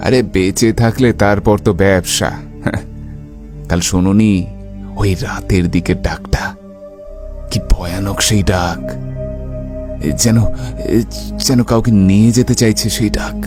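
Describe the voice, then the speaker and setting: A man's voice: lowish voice, slow pace, long pauses, music in the background. A man playing an uneasy, exasperated village tradesman in a cartoon, earnest and grumbling. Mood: fearful. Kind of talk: cartoon voice acting.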